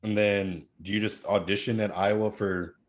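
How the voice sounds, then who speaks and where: Deep-voiced young male podcast host. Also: steady tone, moderate pace. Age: 20s. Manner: easygoing, short affirmations, casual slang. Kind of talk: casual interview.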